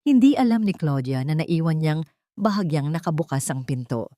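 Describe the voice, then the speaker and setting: A woman with a low voice narrates an audio drama in a measured, dramatic way. Her voice rises on tense moments. The recording is dry and close, with no room sound. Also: expressive, dark tone, no room sound.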